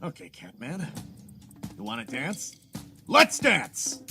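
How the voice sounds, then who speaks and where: An actor playing an earnest, defiant American super-soldier hero in an audio drama, talking in a plain-spoken way. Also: male voice, fairly high pitch, huge pitch swings, slow, loud music behind the voice, exaggerated voice. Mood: cocky challenge.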